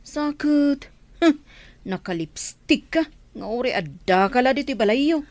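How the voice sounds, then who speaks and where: A woman's voice: high-pitched, animated, unhurried, darkish tone, no echo. An actress playing a teasing, skeptical neighbour woman in a radio drama, exclaiming in an Ilocano accent. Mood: teasing, curious. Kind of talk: Filipino radio drama acting.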